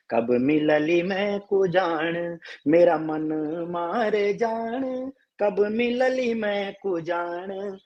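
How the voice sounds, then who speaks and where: Man, Garhwali accent, high voice, earnest live-stream guest, muffled audio. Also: darkish tone. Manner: earnest, speaks quickly when explaining. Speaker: folk singer and guest. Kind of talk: singing a slow folk song, then casual talk. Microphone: live video call.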